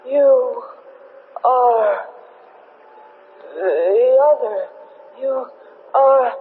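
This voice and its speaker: Very slow, halting alien creature. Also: male voice, very high voice, slightly rough, full character voice. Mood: labored, urgent. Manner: halting, broken clipped phrases. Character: dying alien creature, halting, pleading, determined to survive. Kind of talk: 1970s radio drama acting. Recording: muffled, noisy vintage radio recording.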